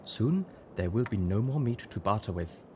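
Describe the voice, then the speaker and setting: A man's voice: on the low side, lively intonation, normal speed, a little mellow, slight background noise, lightly acted. A man voicing a reserved, stoic female zebra who speaks formally and plainly in a story reading. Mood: calm, measured. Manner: formal, plain-spoken, without contractions.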